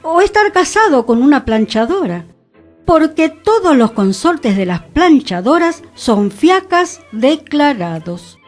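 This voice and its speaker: High, animated Argentine woman reading aloud. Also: slow, faint music behind. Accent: Argentine, Buenos Aires. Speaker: reader. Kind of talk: expressive literary reading.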